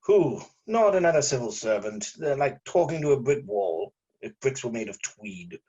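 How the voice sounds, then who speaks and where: Man as husky, exasperated journalist, dull recording. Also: mid-range voice, big pitch swings, normal speed, dark tone. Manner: exasperated, sarcastic. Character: weary, bitterly sarcastic male journalist. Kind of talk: amateur script table read.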